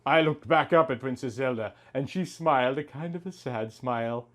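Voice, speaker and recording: A man's voice: medium pitch, normal speed, fairly bright tone. A young man reading a story aloud in a theatrical, mock-serious way with exaggerated emphasis. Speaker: host reading amateur fan fiction.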